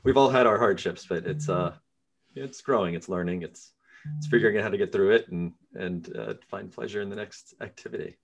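A man hosting a casual interview podcast, relaxed, with many fillers, going soft and quiet on serious topics. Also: on the low side, a little fast, vocal fry, faint music behind. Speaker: podcast host.

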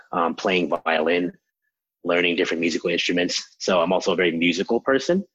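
Man, language teacher, husky voice, lively explaining, muffled audio. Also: sits low. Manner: animated explainer, acts out quotes. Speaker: language teacher, podcast guest. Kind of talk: conversational interview answers.

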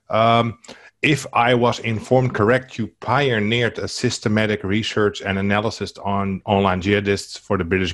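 Low-voiced Dutch-accented man. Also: fairly bright tone. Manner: friendly, hesitant with fillers. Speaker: co-host introducing guest. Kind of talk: casual webcast chat.

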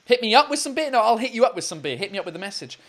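A man co-hosting a livestream quiz, chatting casually with viewers in a loud, laughing, swearing style full of fillers. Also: sits high, very animated, rapid-fire. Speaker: livestream co-host running a quiz.

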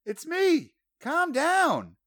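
A woman's voice: high voice, wildly swooping pitch, extremely slow, a bit bright, dry recording, clean studio sound, heavily acted. A woman playing an earnest, exasperated special agent with a casual, emphatic delivery, in an audio drama. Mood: pleading.

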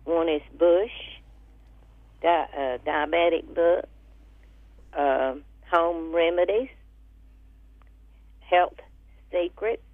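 Slow, creaky woman on a phone line. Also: lowish voice, dry recording. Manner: halting, pausing between items. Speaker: caller to radio show. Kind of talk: casual listing of items and numbers. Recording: narrow phone line on radio.

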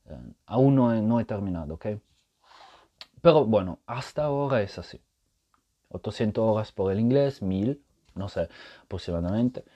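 A man's voice: fairly low pitch, animated, normal speed, pauses often, warm tone, lo-fi sound. A man hosting a casual solo podcast, explaining his point patiently and often checking in with the listener, speaking Spanish as a learned language. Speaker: podcast host.

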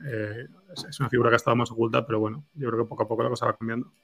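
A man gives informal interview answers. He talks very quickly with a gravelly creak in his voice, often softening his opinions in a tentative way. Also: mid-range voice, little pitch movement. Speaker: screenwriter being interviewed. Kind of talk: casual interview chat.

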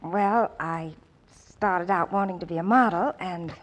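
An actress playing a gentle, composed young woman with a sentimental streak in an old-time radio drama. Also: on the low side, measured pace, rough recording. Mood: gentle, reserved. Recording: old radio recording, music behind.

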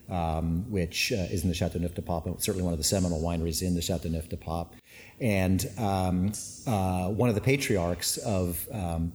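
A man's voice: low voice, mellow. A middle-aged man who grew up in Canada speaking Finnish first, a winery owner chatting casually with many fillers and restarts. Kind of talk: casual interview chat. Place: winery tank room. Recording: long reverb, faint ambience.

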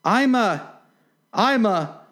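A reader as an earnest, high-voiced little girl. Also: animated, drawn-out words, a bit bright. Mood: doubtful, earnest. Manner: earnest, hesitant, wondering. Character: polite, puzzled, truthful little girl, easily indignant. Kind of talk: storybook character voice.

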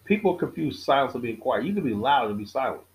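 A middle-aged man hosting his own podcast, giving a casual monologue with a preachy tone, repeating key lines for emphasis, often halting and restarting. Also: medium pitch, sing-song. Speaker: podcast host.

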